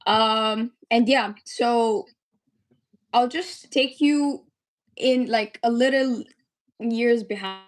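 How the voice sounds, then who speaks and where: A woman's voice: fairly high pitch, extremely slow, pauses often. A young woman in her twenties from the UAE, a medical student telling her founder story as a podcast guest, earnest and chatty with many fillers.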